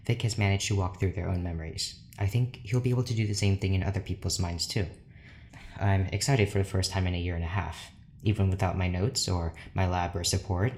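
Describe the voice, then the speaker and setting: An actor playing an obsessive, lonely, overworked scientist in an audio drama, formal and brooding. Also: male voice, deep voice, slight background noise. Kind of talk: audio drama monologue, diary-like.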